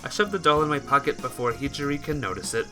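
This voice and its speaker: A man live-streaming a visual novel, reading the text aloud and breaking off for casual, halting asides to his viewers. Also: mid-range voice, animated. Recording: music behind the voice.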